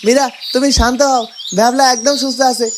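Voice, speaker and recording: A woman's voice: sits high, fairly even intonation, fast, natural voice. A woman voicing an earnest, loyal young male villager in a cartoon, reassuring and comforting. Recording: birdsong and noisy ambience behind.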